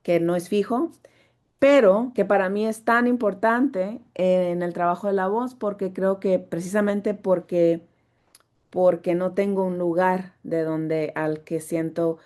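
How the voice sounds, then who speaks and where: A woman's voice: fairly low pitch, steady tone, measured pace, darkish tone. A woman who teaches voice, speaking thoughtfully on a video call, searching for her words and restarting often.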